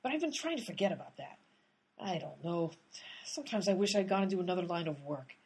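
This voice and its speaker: A woman's voice: lowish voice, lively intonation, fast, darkish tone, husky. A woman playing an exasperated, blunt, tough-talking detective in a comedic radio play.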